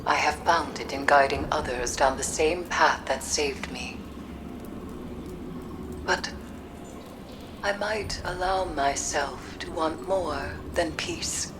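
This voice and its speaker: An actress playing a solemn, haunted mystic in a video game, speaking in a grave, formal and measured way. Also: female voice, deep voice. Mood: grave, reflective. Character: solemn, haunted mystic woman, weary and cryptic. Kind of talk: video game cutscene monologue. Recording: clear background ambient noise.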